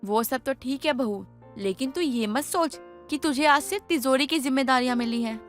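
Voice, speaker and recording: A woman's voice: sits high, normal speed, faint music behind, natural voice. A woman playing an older mother-in-law and household matriarch in a dramatized moral story, speaking in an emphatic, homely, instructive way. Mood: trusting, persuasive.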